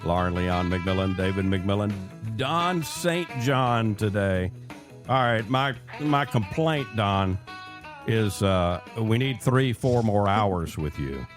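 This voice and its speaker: An American man hosting a conversational radio interview in a deliberate, emphatic way, repeating phrases for effect. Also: on the low side, expressive, over music. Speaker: radio show host.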